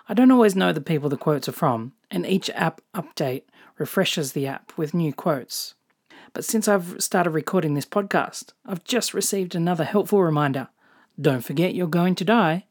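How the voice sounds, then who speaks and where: Australian solo podcaster, calm scripted musings with a dry wit. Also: mid-range voice. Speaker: podcast host. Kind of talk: scripted personal podcast monologue.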